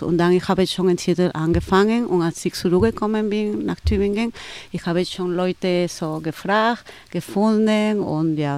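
Woman speaking halting German. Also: on the low side, a little mellow, no echo. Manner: halting non-native German with many fillers and restarts. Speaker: photographer and event organizer. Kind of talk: interview answers.

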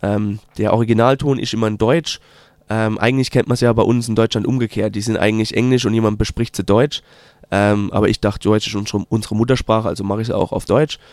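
A man with a Palatinate German accent, a documentary maker answering interview questions in a casual, halting way with lots of filler sounds. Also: lowish voice, fairly even intonation, darkish tone, no echo. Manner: hesitant, many filler sounds, slow drawn-out phrasing. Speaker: documentary filmmaker guest.

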